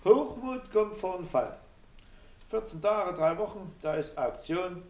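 An actor playing a cocky, vain, chatty waiter in a drama, with boastful, familiar dialect chatter. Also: male voice, sits high, lively intonation, a little slow, darkish tone. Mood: smug, boastful. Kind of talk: stage-style radio drama dialogue. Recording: roomy, distant from the mic.

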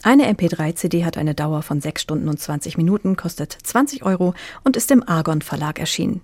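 A woman's voice: fairly low pitch, lively intonation, a little fast, darkish tone, no echo. A woman hosting a radio book programme, presenting from a script and putting questions in an orderly way. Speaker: radio host.

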